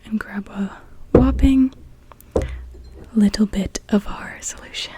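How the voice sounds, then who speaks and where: A young woman playing a gentle, welcoming witch in a soft, soothing whispered ASMR roleplay. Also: medium pitch, slow, natural voice. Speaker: ASMR performer.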